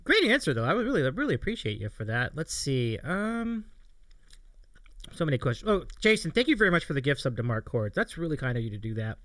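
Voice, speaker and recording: A man with an American accent hosts a casual livestream in a bright, fairly high voice. He is chatty and appreciative, with very lively intonation, in a dry recording. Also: quick pace, dry recording. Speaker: show host reading audience questions.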